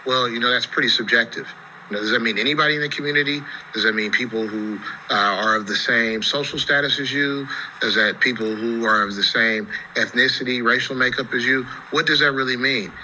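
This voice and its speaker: A man with an American accent and a very bright, sharp voice at a middle pitch gives legal commentary. He explains in a conversational, rhetorical way. Some noise sits behind the voice. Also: steady tone, a little fast, lo-fi sound. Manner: explanatory, rhetorical questions, frequent "you know". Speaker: attorney, TV legal analyst.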